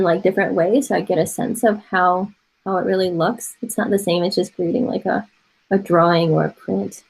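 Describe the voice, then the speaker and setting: A woman in her forties, a native Mandarin speaker from Taiwan, teaches a college art class over a video call from a room full of bookshelves. Her voice is a little husky and breathy, and she talks in a loose, chatty way, encouraging her students and thinking out loud. The sound comes through a webcam mic. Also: mid-range voice, low-quality recording. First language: Mandarin Chinese. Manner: chatty and encouraging, laughs often, thinks aloud. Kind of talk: casual online class instruction.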